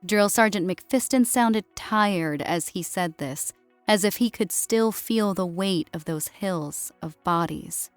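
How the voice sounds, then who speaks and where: A woman narrates a scripted story as an audiobook reader. Her voice sits a little low. Quiet music runs behind the narration. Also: slow pace, no echo.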